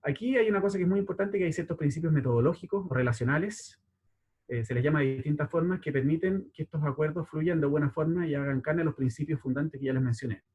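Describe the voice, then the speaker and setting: A man giving a formal, methodical slide presentation in a slightly husky voice. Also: a little high, fast, darkish tone. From Chile. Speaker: panel speaker, community relations specialist.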